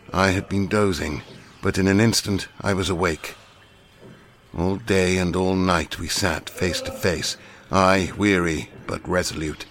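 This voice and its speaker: A man with a very deep, low voice reads a classic novel aloud. His delivery is measured and deliberate, with a grave, serious tone. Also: fairly bright tone. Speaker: audiobook reader. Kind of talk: scripted narration of a classic novel.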